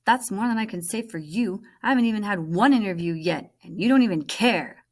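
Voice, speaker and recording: A woman's voice: medium pitch, a little fast, lightly acted. A woman voicing a bitter, frustrated refugee boy from a storybook, with an insistent, impatient manner. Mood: angry, mean.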